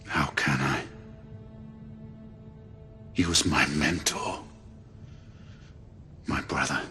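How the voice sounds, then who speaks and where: An actor playing a grim, grieving knight bent on vengeance, brooding and terse in a gravelly growl, in a dramatic video game. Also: male voice, a bass voice, steady tone, very slow, sharp tone, faint music behind, heavily acted.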